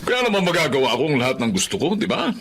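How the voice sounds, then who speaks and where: Casting: smug middle-aged Filipino landlord, dismissive and wheedling, with very animated intonation. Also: male voice, on the high side, fast talker, slight background noise. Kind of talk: Tagalog radio drama acting.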